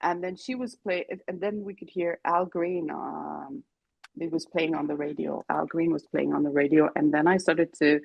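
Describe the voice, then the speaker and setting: A woman from Sweden, a singer-songwriter, chatting casually as an interview guest, relaxed and laughing often. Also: low voice, normal speed, gravelly, slightly rough, no echo, lo-fi sound. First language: Greek and Finnish. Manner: relaxed, laughs often, many fillers and restarts.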